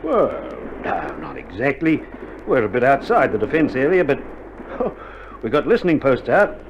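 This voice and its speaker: An actor playing a reassuring Australian army doctor in a war radio drama, speaking in casual slang and playing down danger. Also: male voice, medium pitch, huge pitch swings, moderate pace. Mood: breezy, reassuring. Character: breezy, reassuring male army doctor in wartime field hospital. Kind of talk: 1960s radio drama acting. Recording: muffled old vinyl drama, loud battle noise.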